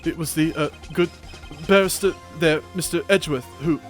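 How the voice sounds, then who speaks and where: A man puts on a flustered hotel bellboy for a video game, pitched high and eager to please. He hesitates, trips over his words and defers constantly, with faint music underneath. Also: normal speed, close to an everyday voice. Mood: nervous, deferential. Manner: hesitant, stammering, obsequious. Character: nervous, deferential male hotel bellboy on witness stand.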